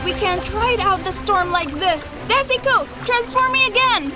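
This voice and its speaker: A woman voicing a small magical pet companion in an animated film, bossy, protective and exclamatory. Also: extremely high pitch, normal speed. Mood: urgent, determined. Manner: exclamatory, scolding, commanding. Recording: loud music over the voice.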